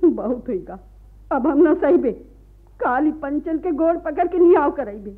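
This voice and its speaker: An actress in a radio play voicing a fiery, bitter village wife who scolds and complains in a rural Awadhi North Indian accent. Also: female voice, high-pitched, expressive, a little slow. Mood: indignant. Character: fiery, bitter peasant wife, sharp-tongued, fed-up. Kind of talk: Hindi radio play acting. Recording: muffled lo-fi radio archive recording.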